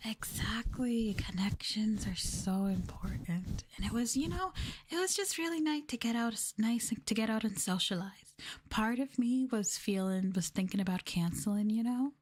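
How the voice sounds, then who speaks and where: Female ASMR streamer: hushed, gentle chat that drifts between soft voice and whisper, close-miked. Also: on the high side, lively intonation, normal speed, a little hiss or hum. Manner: gentle hushed delivery, often slipping into whisper. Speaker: streamer host of an ASMR livestream. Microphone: close microphone for headphone listening.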